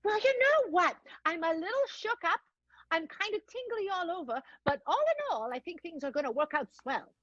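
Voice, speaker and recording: Woman playing a high, animated vaudeville showgirl. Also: normal speed, dry recording, full character voice. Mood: perky. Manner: perky, emphatic old-time showgirl talk. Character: plucky, gutsy young female vaudeville high-wire performer. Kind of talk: improvised radio play acting. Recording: dull lo-fi dry recording.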